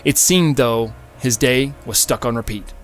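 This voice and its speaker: A man's voice: mid-range voice, unhurried, fairly bright tone. Male audiobook narrator over music. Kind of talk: dramatic scripted fiction narration.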